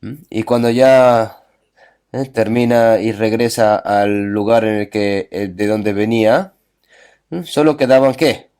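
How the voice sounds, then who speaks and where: A man's voice: lowish voice, slow, a little mellow, clean tone. Man preaching with constant rhetorical check-ins. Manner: checks in with listeners through frequent tag questions; storytelling, rhetorical questions. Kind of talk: sermon with scripture readings.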